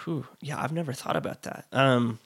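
A man in his late twenties talking as an interview guest, thoughtful and halting, often restarting. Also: mid-range voice, a bit bright, breathy edge, dry recording. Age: late 20s. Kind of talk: casual interview chat.

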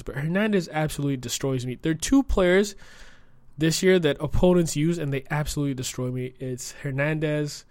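A young man hosting a casual solo podcast, his voice croaky from a cold, chatty and rambling with many fillers. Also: mid-range voice, dry recording. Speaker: podcast host and streamer.

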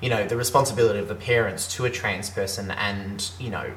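A man's voice: fairly low pitch, quick pace, fairly bright tone, slight background noise. A man co-hosting a podcast, earnestly explaining his views at length in casual chat. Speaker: podcast co-host. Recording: roomy echo, faint ambience.

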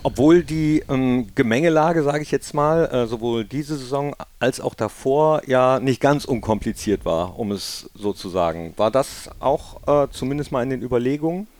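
A man's voice: mid-range voice, moderate pace, few pauses, a little mellow. A man hosting a podcast, asking a guest casual, curious questions with a few fillers. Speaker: podcast host.

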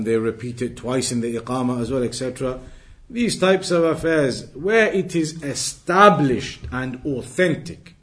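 A man giving a religious lesson as a teacher, reading from a text and explaining it, restating his points in a didactic way. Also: fairly high pitch. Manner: didactic, repeats and rephrases points.

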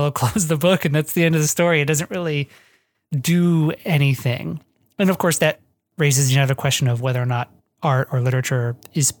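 An author with an American accent, talking reflectively in an interview in a halting, discursive way, thinking aloud and hedging often. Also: medium pitch, dry recording, studio quality. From northwest Ohio, United States. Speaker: guest author of a memoir. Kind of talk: reflective podcast interview talk.

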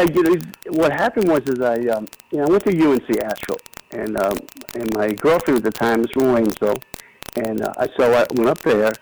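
A man's voice: medium pitch, gravelly, a little mellow. An older American man reminiscing in a casual, rambling and earnest way as an interview guest over the telephone. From New York City, United States. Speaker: retired basketball coach, interview guest. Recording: noisy phone interview with clicks.

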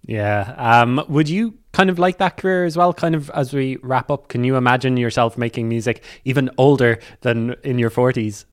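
A man's voice: medium pitch, moderate pace, few pauses. A man interviewing someone in an informal, friendly, conversational way. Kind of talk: casual interview chat.

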